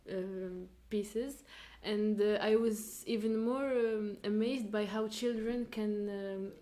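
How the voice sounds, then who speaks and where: A woman's voice: medium pitch, dark tone. A young woman volunteer from France giving reflective interview answers, hesitant and searching for words. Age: young adult.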